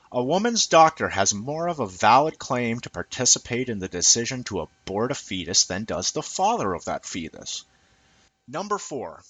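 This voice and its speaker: A man reading an opinion essay aloud in an emphatic, sardonic, deliberate way. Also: deep voice, a bit bright, dry recording. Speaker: reader of an essay.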